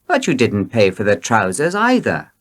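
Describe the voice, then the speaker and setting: A man's voice: fairly low pitch, huge pitch swings, moderate pace, a bit bright, heavily acted. A man voicing an insistent shopkeeper in a storybook tale, protesting emphatically.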